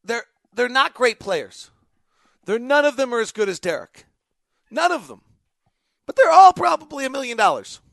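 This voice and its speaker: A man's voice: very high-pitched, sing-song, normal speed, creaky, long pauses, a bit bright, no echo, clean studio sound. An American man hosting a casual live sports podcast, conversational and emphatic, giving his opinions as he goes. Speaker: host, basketball announcer and insider.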